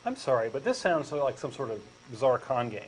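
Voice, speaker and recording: A man's voice: mid-range voice, sing-song, brisk, a little hiss or hum, rough recording. A man with a Texan accent reading a comic dialogue as a hesitant, skeptical questioner who stammers. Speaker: co-host doing a comic dramatic reading.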